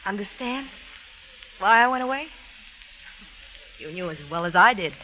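An intense young newspaperwoman in a radio melodrama. Her voice is very bright and swings expressively. The old recording is dull, with faint noise. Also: mid-range voice, animated, normal speed. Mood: hurt, earnest. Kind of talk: 1940s radio melodrama acting.

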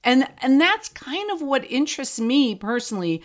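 A woman's voice: sits high, sing-song, moderate pace. A woman talking casually as an interview guest, plain-spoken and encouraging. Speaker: podcast guest, fiction podcast creator.

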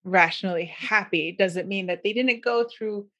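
A woman's voice: mid-range voice, normal speed, a bit bright, highs cut off. A woman hosting a casual conversational interview in a chatty, agreeable way. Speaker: interviewer, host.

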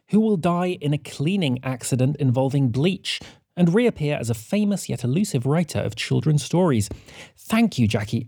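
A man's voice: a little high, warm tone, breathy edge, no room sound, studio quality. A man reading a scripted announcement to listeners as a podcast announcer.

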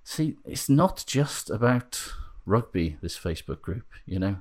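A man's voice: lowish voice, big pitch swings, measured pace, a little mellow. A man hosting a podcast, chatting in a wry, casual way and swearing now and then. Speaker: podcast host.

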